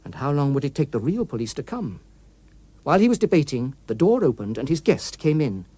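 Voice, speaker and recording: A British man reads an audiobook story dramatically. His pitch is on the high side and moves widely, and the recording is close and dry. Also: big pitch swings, fairly quick, dark tone, breathy edge, dry recording. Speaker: audiobook narrator. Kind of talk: scripted dramatic story narration.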